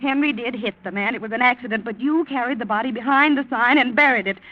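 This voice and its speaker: A woman's voice: fairly high pitch, fast talker, no echo, lightly acted. Actress as a spunky, defiant young woman. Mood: bitter, defiant. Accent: American. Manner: emphatic, scolding. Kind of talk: 1940s radio acting. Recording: muffled 1940s radio recording.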